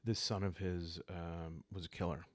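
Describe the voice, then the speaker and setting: A man speaking softly and calmly, improvising a story for a solo roleplaying game and thinking aloud hesitantly. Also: deep voice, no room sound. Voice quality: soft, calm. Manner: hesitant, thinking aloud with long pauses and sighs. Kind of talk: calm unscripted storytelling for sleep, journaling game playthrough.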